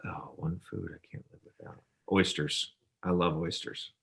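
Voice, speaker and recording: Low, bright-voiced man chatting as guest. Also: fairly even intonation, normal speed, gravelly, husky. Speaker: interview guest. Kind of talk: casual interview chat.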